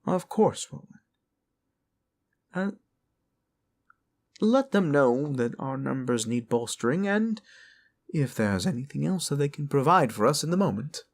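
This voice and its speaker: A man's voice: mid-range voice, big pitch swings, measured pace, gravelly, long pauses, heavily acted. A man voicing a polite, scheming lich necromancer in a fantasy audio roleplay, genteel yet commanding and wheedling. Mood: exasperated, placating.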